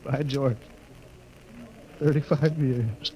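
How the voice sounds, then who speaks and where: An actor playing a commanding, emotional rocket ship captain in a 1950s radio drama. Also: male voice, medium pitch, very slow, over loud music, poor audio. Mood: stunned, moved. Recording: old radio broadcast with crowd noise.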